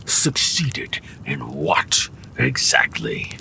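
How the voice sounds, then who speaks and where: A gravelly, raging villain lord. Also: male voice, a bass voice, huge pitch swings, very slow, pushed and bright, in a whisper, exaggerated voice, low-quality recording. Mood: cold, menacing. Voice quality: gravelly, breathy growl. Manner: imperious, menacing. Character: enraged commanding fiendish warlord demanding answers. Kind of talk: fantasy audio drama acting.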